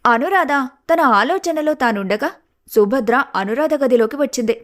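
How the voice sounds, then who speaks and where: Slightly high-pitched woman narrating a story. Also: expressive, normal speed, fairly bright tone.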